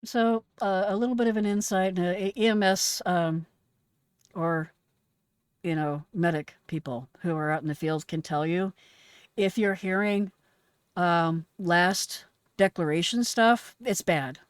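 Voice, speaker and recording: Low-voiced woman giving frank commentary. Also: measured pace, smooth, no echo, clean studio sound. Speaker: host, retired emergency dispatcher. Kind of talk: reaction commentary analysing an emergency call.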